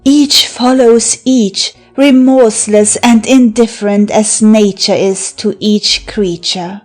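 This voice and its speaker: A woman's voice: mid-range voice, steady tone, takes it slowly, darkish tone, faint music behind. A woman playing a teasing, mocking seductress with magical wiles in a theatrical audiobook verse drama. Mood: seductive, commanding. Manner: theatrical, taunting, breaking into laughter and sighs.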